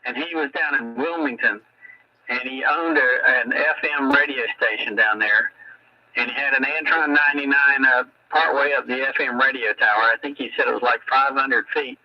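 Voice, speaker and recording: A man's voice: mid-range voice, moderate pace, faint music behind. A man reminiscing casually as a radio operator, heard over a radio transmission. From American South. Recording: dull band-limited CB radio audio.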